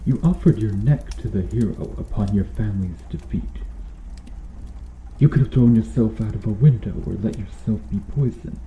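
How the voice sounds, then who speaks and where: A man playing a stern medieval knight in an ASMR roleplay, questioning formally and earnestly with dramatic pauses. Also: fairly low pitch, reverberant, phone-quality sound, slight background noise, close to an everyday voice. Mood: alarmed, bewildered. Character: stern medieval knight interrogating an accused noble witch.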